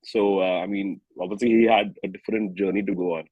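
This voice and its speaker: A man's voice: sits low, steady tone, quick pace, breathy edge, no echo, phone-quality sound. A man in his thirties with an Indian accent, chatting casually as a musician being interviewed. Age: 30s. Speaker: singer-songwriter and musician interview guest.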